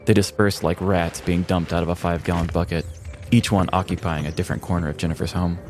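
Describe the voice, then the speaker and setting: A man's voice: low voice, flat delivery, fast talker, a little mellow, faint music behind. A man hosting a podcast, reading a scripted, tongue-in-cheek true-crime story in a storyteller's manner. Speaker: podcast host. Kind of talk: scripted dramatic true-crime narration, comedic.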